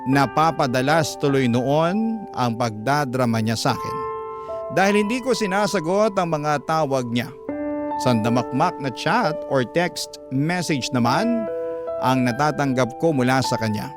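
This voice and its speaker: A man's voice: mid-range voice, expressive, unhurried, natural voice. A man with a Filipino accent reading a troubled mature woman's love confession as earnest radio-drama narration. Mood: uneasy, regretful. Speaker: radio storyteller. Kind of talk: first-person letter narration, classic radio drama. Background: guitar music.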